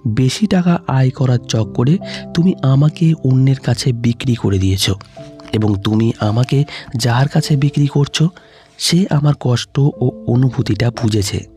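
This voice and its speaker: A man's voice: medium pitch, warm tone, lightly acted. A man voicing a wronged, heartbroken wife with a pleading, reproachful manner in an audio story.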